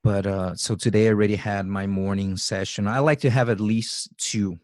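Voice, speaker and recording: A man's voice: fairly low pitch, steady tone, normal speed, darkish tone, dry recording, clean studio sound. A man in his forties hosting a podcast, talking loosely and rambling, often acting out his own thoughts. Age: 40s. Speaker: podcast host. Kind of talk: casual interview conversation.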